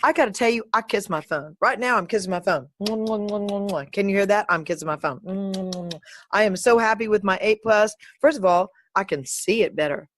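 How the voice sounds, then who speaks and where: Playful, animated woman podcast host. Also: medium pitch, brisk, slight background noise. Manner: playful, animated, sings and makes silly sounds; uninhibited, swears. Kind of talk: solo podcast chat.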